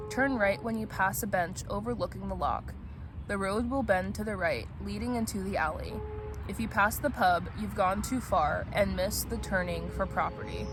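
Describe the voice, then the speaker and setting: A woman speaking in a playful, casual way as a vlog host, chatting and reading aloud. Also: mid-range voice. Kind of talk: casual vlog chat and novel read-aloud. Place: outdoors at a picnic spot. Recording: noisy outdoor recording with traffic ambience.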